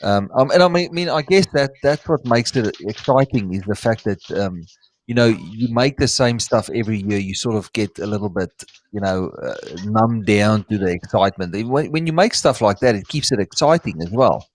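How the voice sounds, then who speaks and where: A man's voice: on the low side, normal speed. A man with an Afrikaans accent, Afrikaans his first language, chatting casually as co-host and often restarting as he hunts for words. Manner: hesitant, hunting for words, frequent restarts. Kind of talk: casual chat.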